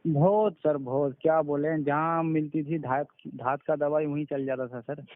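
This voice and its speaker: A man's voice: a little high, fairly even intonation, unhurried, dry recording. A man calling by phone, speaking informally and very deferentially as a patient, often repeating himself. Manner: deferential, constantly says sir, repeats himself. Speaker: caller, patient giving a testimonial. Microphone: telephone. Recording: narrowband phone call recording.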